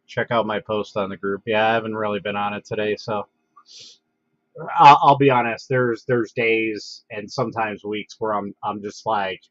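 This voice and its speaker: A man's voice: fairly low pitch, no room sound. Congested man chatting on a lo-fi stream. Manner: relaxed, halting, many fillers and restarts. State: congested with a head cold. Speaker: livestream host. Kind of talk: casual livestream chat. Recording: dull, dry lo-fi home stream audio.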